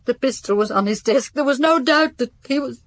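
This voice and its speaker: An actress playing a devout, guarded housekeeper in a radio drama, speaking formally and haltingly. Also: female voice, sits high. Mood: distressed confession. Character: devout, guarded spinster housekeeper to a French count.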